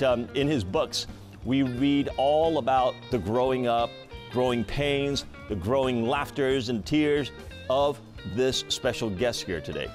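A middle-aged man hosting a late-night talk show in an effusive way, often repeating and restarting his phrases. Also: medium pitch. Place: TV studio.

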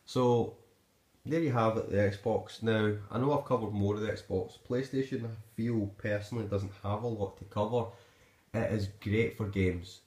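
Man, Scottish accent, low-pitched voice, off-the-cuff reviewer, rambling, roomy echo. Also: fairly even intonation, normal speed, darkish tone. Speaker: amateur gadget reviewer. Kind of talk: casual unscripted video review. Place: indoors in a living room at home. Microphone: handheld phone camera. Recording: echoey living room.

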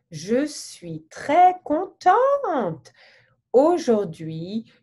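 A middle-aged woman hosting an interview over a video call, asking simple questions in slow, theatrical teacher-style speech. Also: medium pitch, warm tone. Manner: theatrical, exaggerated questions, gestures broadly. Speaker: host interviewing a colleague. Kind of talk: slow, simple French for learners. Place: home office, indoors, virtual background. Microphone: webcam on a video call.

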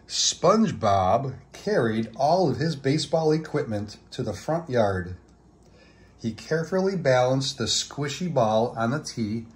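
Guy reading a kids book out loud, really slow and expressive. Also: mid-range voice. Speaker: children's book reader. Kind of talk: storybook read-aloud.

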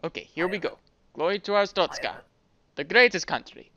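A man's voice: high voice, animated, moderate pace, bright, forceful tone, no echo, faint music behind, pitched above the performer's own voice. A man voicing a cheerful, pushy foreign traveler in a game, with a Russian accent and broken English. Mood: cheerful, proud.

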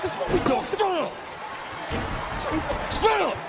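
Urgent high-voiced boxing cornerman. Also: very animated, a little slow, creaky, full character voice. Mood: urgent coaching. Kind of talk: dramatic film acting. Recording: echoey hall with crowd and music.

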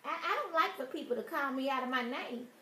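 A woman's voice: high-pitched, animated, brisk, sharp tone. A woman playing an aggrieved, easily offended customer, rambling and swearing through a prank phone complaint. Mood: indignant complaining. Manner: indignant, rambling, repetitive, foul-mouthed. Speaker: prank caller. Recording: roomy, distant, noisy phone prank recording.

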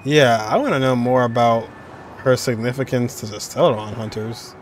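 A man's voice: medium pitch, moderate pace, slight background noise. A young man hosting a game reaction video, talking casually and exclaiming at what he sees, from a desk in an indoor room. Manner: animated, exclaiming and laughing at the screen. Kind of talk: casual live reaction commentary.

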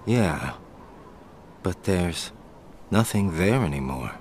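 Relaxed buddy type, lowish voice, lively intonation, quiet game music. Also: male voice, takes it slowly, a little hiss or hum. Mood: calm. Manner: casual, easygoing. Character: laid-back young man, loyal friend. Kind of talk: video game cutscene voice acting. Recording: game audio with faint music.

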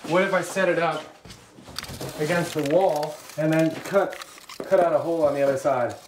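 A man's voice: fairly high pitch, normal speed. A man in his twenties filming a vlog, joking and self-deprecating as he calls out to people on the street. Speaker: vlogger doing a public stunt. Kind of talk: casual self-filmed vlog. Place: indoors then on a busy city street. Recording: street noise behind the voice. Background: traffic and street noise.

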